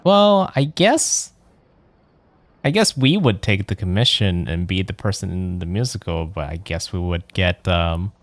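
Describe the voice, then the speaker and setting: A man's voice: low voice, animated, unhurried, dry recording. A man giving casual let's play commentary as a streamer, musing in a rambling, questioning way. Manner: rambling, musing, often ends on a questioning "right?". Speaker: streamer playing a game. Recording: game music behind the voice.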